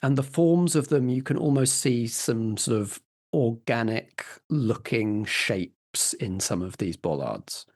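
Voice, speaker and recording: A man's voice: mid-range voice, animated, takes it slowly, a little mellow. A man narrating scenes and giving rulings as the game master of a tabletop role-playing game.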